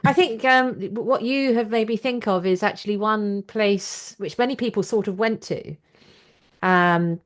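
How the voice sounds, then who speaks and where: A woman's voice: mid-range voice, a little slow. A woman hosting a conversational interview in a chatty, informal way. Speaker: podcast host and interviewer.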